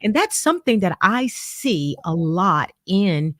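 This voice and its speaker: A woman's voice: mid-range voice, big pitch swings, slow, a bit bright, no room sound, studio quality. A woman hosting a faith-based leadership talk, speaking emphatically and building her points through analogies.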